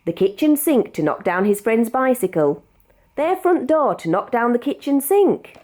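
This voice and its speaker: British woman reading aloud, pitched high and lively. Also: normal speed. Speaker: children's book narrator. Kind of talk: read-aloud storybook narration.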